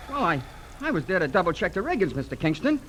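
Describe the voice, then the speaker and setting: An actor playing a nervous, defensive young rigger in 1940s radio style, stammering and deferential. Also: male voice, sits high, sing-song, fast talker, a bit bright. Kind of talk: 1940s radio drama acting. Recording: faintly noisy old radio recording.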